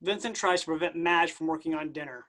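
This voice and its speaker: A woman's voice: deep voice, quick pace, a bit bright. A woman reading scripted stage directions as the narrator of a staged play reading.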